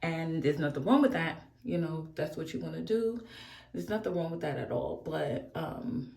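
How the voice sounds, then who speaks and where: A woman's voice: low-pitched, expressive, normal speed, creaky, a little mellow. A woman in her forties chatting candidly while doing her makeup, rambling and laughing often. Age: 40s. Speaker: beauty vlogger. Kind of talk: casual get-ready-with-me chat. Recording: echoey room.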